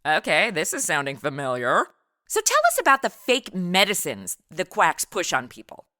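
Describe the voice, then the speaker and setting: A woman's voice: lowish voice, wildly swooping pitch, normal speed, sharp tone, dry recording, studio quality. A woman hosting a podcast in a breezy, slangy, casual interview style. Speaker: podcast host.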